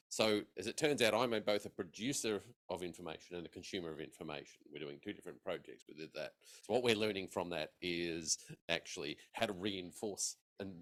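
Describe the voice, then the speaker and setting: Very deep-voiced man rambling at a conference. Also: fairly bright tone. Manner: rambling, many fillers and restarts. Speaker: audience member commenting. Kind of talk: conference floor comment.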